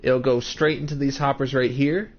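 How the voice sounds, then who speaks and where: A man's voice: mid-range voice, steady tone, moderate pace, a little hiss or hum. A man in his twenties giving casual commentary while streaming a building game, explaining each step and talking to chat viewers by name. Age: 20s. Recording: muffled lo-fi stream mic.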